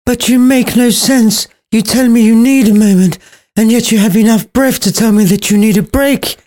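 A man's voice: very high-pitched, moderate pace, a little mellow, voice pushed up. A man voicing an impatient, lovestruck young girl in a storybook retelling, pleading and pushy.

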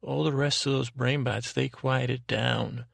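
Very creaky-voiced man rambling at bedtime. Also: mid-range voice, steady tone, measured pace, no echo, studio quality. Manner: meandering, hesitant, self-correcting, trailing off. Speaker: podcast host.

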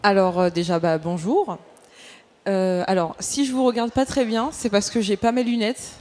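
Casual, halting young woman. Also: mid-range voice, steady tone, darkish tone. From French suburbs. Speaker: activist panel speaker. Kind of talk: informal self-introduction to an audience.

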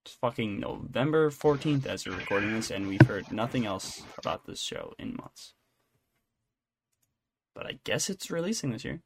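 A man's voice: lowish voice, very animated, moderate pace, vocal fry, heavy background noise. A man co-hosting a podcast, chatting casually about entertainment news in an irreverent, joking, sometimes profane way. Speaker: podcast co-host.

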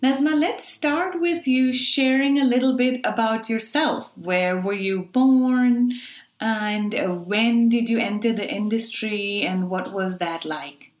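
A woman's voice: fairly high pitch, slow, roomy sound. A woman from Pakistan interviewing a guest, asking questions and affirming her answers.

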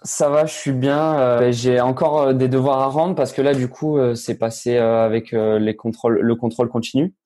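A man's voice: mid-range voice, fairly even intonation, moderate pace, hardly any pauses, dark tone. A teenage man, a young tennis player answering interview questions casually, hesitant with many fillers and restarts. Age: teens.

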